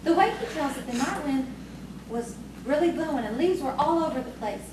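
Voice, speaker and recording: Woman acting as coy young country girl, high swoopy voice, echoey and far from the mic. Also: expressive, a little fast. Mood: confiding. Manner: coy, earnest, storytelling. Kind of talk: audio theatre acting. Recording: distant, very echoey, noisy stage recording.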